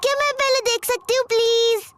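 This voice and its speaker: A performer voicing a cheerful, bossy, excitable little girl pig in a children's cartoon dub, eager and exclaiming. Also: very high voice, brisk, very noisy. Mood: excited.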